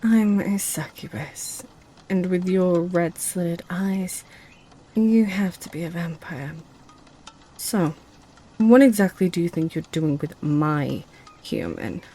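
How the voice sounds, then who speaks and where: A coolly confident succubus, played by a woman in an ASMR roleplay. The voice is dark and somewhat low, with very slow, deliberate delivery. She sounds possessive and dryly threatening. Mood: possessive, confrontational.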